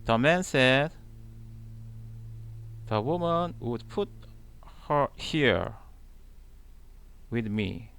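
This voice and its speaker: A man reading scripture aloud in a deliberate manner, pausing between short, halting phrases. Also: medium pitch, expressive, fairly bright tone, clear, resonant tone. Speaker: channel host reading the Bible aloud. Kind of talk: slow scripture read-aloud.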